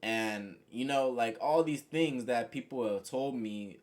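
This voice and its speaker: Young guy just rambling to his viewers, mid-pitch, kinda monotone, lots of you know and like. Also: measured pace. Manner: says he has a monotone voice. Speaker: vlogger. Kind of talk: casual reflective monologue to camera.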